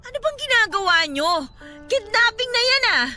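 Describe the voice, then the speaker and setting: A young Filipino mother in a radio drama, desperate and angry. Her voice is extremely high and piercingly bright. She speaks with heated, emphatic sarcasm over dramatic music. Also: very animated, normal speed, full character voice. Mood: anxious, angry. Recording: dramatic music bed behind the voice.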